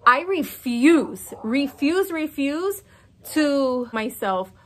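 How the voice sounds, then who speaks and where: A woman in her forties with a fairly high voice speaks straight to camera from an outdoor patio by the water. Her talk is casual, chatty and frank, and she gestures as she goes. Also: drawn-out words. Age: 40s. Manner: animated, talks with her hands, chatty and frank. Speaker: fitness coach and vlogger.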